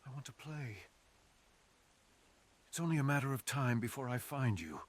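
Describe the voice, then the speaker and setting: A man's voice: medium pitch, expressive, normal speed, natural voice. A man playing a fox assassin in an ASMR roleplay, speaking theatrically and intimately to the listener, savoring his phrases. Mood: taunting, predatory. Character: menacing, sadistic male fox assassin with a remorseful streak. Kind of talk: ASMR roleplay, close dramatic monologue to the listener.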